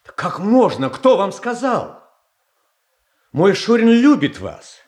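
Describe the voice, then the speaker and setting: An actor playing a gentle, trusting, flustered tsar in a classical radio tragedy, hesitant and repeating his phrases. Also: sits high, big pitch swings, takes it slowly, exaggerated voice. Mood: anxious reassurance. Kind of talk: classical stage tragedy acting.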